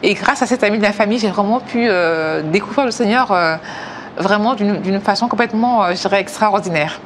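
A woman in her thirties from Africa, giving a personal faith testimony hesitantly, with many pauses. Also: medium pitch, a little hiss or hum. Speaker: testimony speaker.

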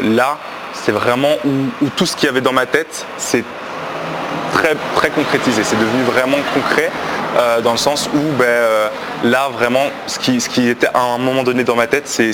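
A man in his twenties from Belgium, telling his personal faith story in a halting, reflective way with many pauses and restarts. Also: mid-range voice, noisy background. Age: 20s. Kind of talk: personal testimony, unscripted.

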